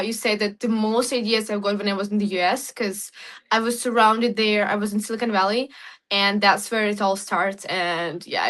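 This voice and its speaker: Young woman from Ukraine, bright forceful voice, eager interview guest, rushes and restarts. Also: on the high side. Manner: excited, frequent restarts and laughs. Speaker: guest, content creator. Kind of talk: casual interview talk.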